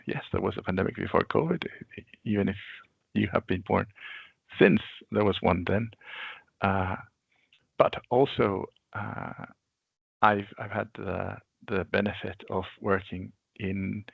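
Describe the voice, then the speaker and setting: Man in his forties, a deep voice with heavy fry, husky, calm and reflective as a webinar guest, dull lo-fi call audio. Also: dry recording. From Venezuela. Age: 40s. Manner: measured, reflective storytelling. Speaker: guest expert. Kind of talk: webinar interview answer. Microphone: video call.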